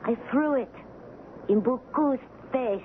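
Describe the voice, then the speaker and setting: Slow, sultry island beauty. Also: female voice, high-pitched. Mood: cool, sultry. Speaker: radio drama actor. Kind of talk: 1970s radio drama acting. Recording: narrow-band old radio broadcast, faint noise.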